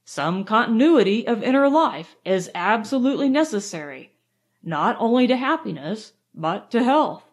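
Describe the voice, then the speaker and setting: A woman reading aloud, voicing a calm, firm male nerve doctor who lectures in a measured way. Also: a little high. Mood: lecturing.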